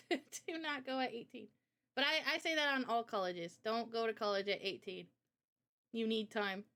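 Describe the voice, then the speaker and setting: A woman's voice: fairly high pitch, normal speed, pushed and bright. A woman chatting casually on a livestream Q&A in a giggly, informal way, as the host. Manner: giggly, laughs often while talking. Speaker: host and visual novel developer.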